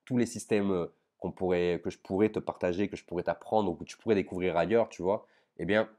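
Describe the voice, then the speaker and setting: A man's voice: deep voice, steady tone, brisk, mellow. A young man talking straight to the viewer in a casual, slangy vlog about his business setbacks. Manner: informal, slangy, addresses viewer as tu. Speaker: online business vlogger. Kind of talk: casual direct-to-camera confession.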